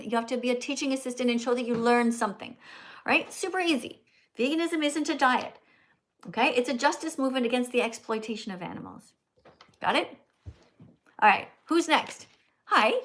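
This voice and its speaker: A high-pitched older woman chatting through a livestream in a teacherly way. She keeps tagging her lines with "okay?" and "right?" as she coaches callers and thanks viewers. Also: fairly quick, fairly bright tone. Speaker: livestream host. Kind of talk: casual livestream chat with callers.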